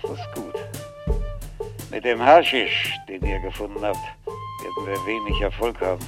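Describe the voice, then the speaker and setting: An actor playing a stern, authoritative older police chief in a radio crime drama, creaky-voiced, clipped and commanding. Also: male voice, on the low side, expressive, moderate pace, over music. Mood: brisk, matter-of-fact.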